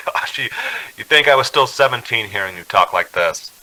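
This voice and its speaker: A man's voice: medium pitch, animated, moderate pace, sharp tone, rough recording. An actor playing an uneasy young man on a road trip in a suspense radio drama. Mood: reassuring, light. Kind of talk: radio drama acting, first-person suspense narration.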